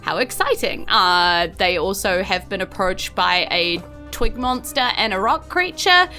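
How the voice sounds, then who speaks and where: Bright, unhurried New Zealand woman game master. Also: medium pitch, lively intonation, soft background music. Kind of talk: narrating a tabletop game, casual banter.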